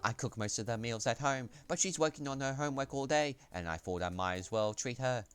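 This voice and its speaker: A man voicing a chatty adult mother with an attempted New Zealand accent, pitching his voice higher. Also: fairly quick, a bit bright, clear, resonant tone, lightly acted.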